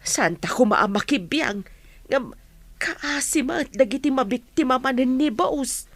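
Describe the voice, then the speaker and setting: A woman's voice: sits high, expressive, measured pace, a bit bright, no echo, full character voice. A woman acting an ailing, anxious lady boss in a Philippine radio drama. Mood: worried.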